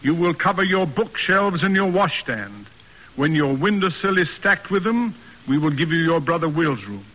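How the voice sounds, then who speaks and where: A man's voice: a little high, very animated, normal speed, pushed and bright, big-room sound, full character voice, low-quality recording. An actor playing a stern, pompous middle-aged American father in a formal, declamatory radio drama style. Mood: commanding, emphatic. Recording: old radio broadcast recording.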